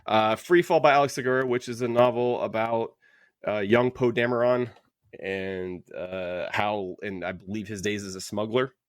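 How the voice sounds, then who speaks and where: Podcast host, male, on the low side, dry close sound, halting and conversational. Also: steady tone, no room sound. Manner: rambling, halting, thinking aloud. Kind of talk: casual book club podcast chat.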